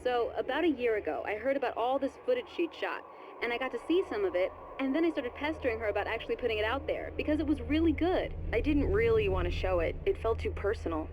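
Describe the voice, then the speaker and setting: An actress playing a guarded, grieving photographer who speaks quietly and haltingly. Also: female voice, on the high side, animated, a little hiss or hum, natural voice, rough recording.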